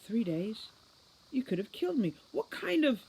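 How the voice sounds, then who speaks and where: A man's voice: very high voice, big pitch swings, normal speed, gravelly, darkish tone, slight background noise, voice pushed up, full character voice, low-quality recording. A man voicing a furious captive female fairy captain in an audiobook, defiant and indignant, spitting out words with contempt. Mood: shaken, angry. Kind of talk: audiobook character reading.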